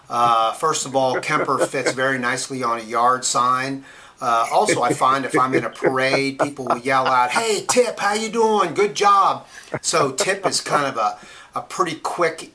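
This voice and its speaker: A man's voice: mid-range voice, pushed and bright, lightly acted. A man playing a folksy, self-promoting male state senator who earnestly explains a step-by-step tutorial in a comic video. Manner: earnest, plodding tutorial delivery.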